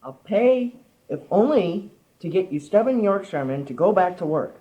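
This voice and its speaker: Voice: mid-range voice, big pitch swings, moderate pace, lightly acted. A teenager in an amateur radio play acting an exasperated, tight-fisted male boss. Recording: muffled amateur recording.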